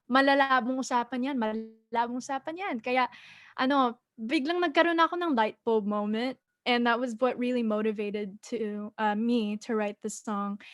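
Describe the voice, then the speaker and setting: A young woman with a high, light voice talks with lively warmth, mixing English and Tagalog as she answers interview questions. The sound is dry and close, with no room around it. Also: dry recording. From United States. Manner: animated, mixes English and Tagalog, laughs often. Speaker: singer-songwriter guest. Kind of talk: press launch interview.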